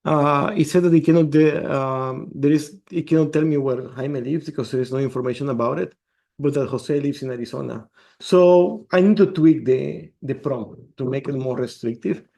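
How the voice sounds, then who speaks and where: Brief: male developer, gravelly and warm, explains code step by step. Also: medium pitch, moderate pace. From El Salvador. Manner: explains step by step, restarts words. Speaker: developer presenting a demo. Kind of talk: screen-share tech demo.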